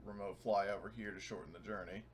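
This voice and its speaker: A man's voice: lowish voice, fairly even intonation, fast talker, slight background noise. A man playing a tabletop roleplaying game, chatting casually and hesitantly as he thinks aloud.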